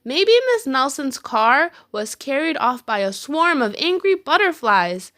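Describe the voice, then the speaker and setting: Woman acting excitable, high-pitched schoolkids. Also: measured pace, full character voice. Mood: eager. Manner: exclaiming, childlike. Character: excitable, mischievous group of schoolchildren.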